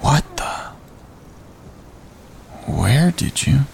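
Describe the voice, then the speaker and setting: A man's voice: mid-range voice, big pitch swings, extremely slow, gravelly, a little mellow, exaggerated voice. An actor playing a drowsy, sceptical, easygoing man on a wilderness trip in a naturalistic audio drama. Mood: irritated, bewildered. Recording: noisy outdoor ambience behind the voice.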